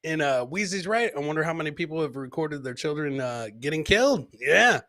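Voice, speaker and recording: A man's voice: on the high side, expressive, brisk, sharp tone. A man hosting a live comedy podcast, casually commenting on fail clips and repeating his own lines as he chats with viewers.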